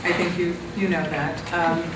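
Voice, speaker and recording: A woman's voice: on the low side, level tone, normal speed. A woman in her forties from Pakistan, with a Pakistani accent, chatting as an interview guest, laughing often with many ums. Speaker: guest, marriage and family therapist. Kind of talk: conversational interview. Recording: roomy, from a distance, background noise.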